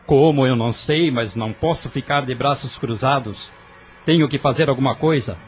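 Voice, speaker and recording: A man's voice: mid-range voice, normal speed, slight background noise, close to an everyday voice. A man with a Brazilian Portuguese accent voicing a determined young man who speaks urgently in an audio drama.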